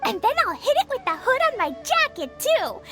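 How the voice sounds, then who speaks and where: A woman voicing a cheerful, playful little girl in a video game, bubbly and giggly, over loud game music. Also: extremely high pitch, sing-song, normal speed. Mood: excited.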